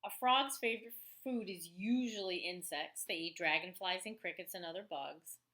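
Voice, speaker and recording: A woman, an elementary teacher reading aloud to children with expressive, chatty asides. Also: fairly low pitch, moderate pace. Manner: expressive, chatty, exclaims to young listeners. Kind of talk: read-aloud lesson for children with casual asides.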